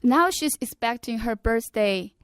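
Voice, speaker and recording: Korean woman, 30s, higher pitch, smooth and clear tone, dry close recording. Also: expressive, unhurried, dry recording. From South Korea. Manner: careful, cheerful, polite non-native English. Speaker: podcast guest co-host. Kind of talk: casual podcast chat.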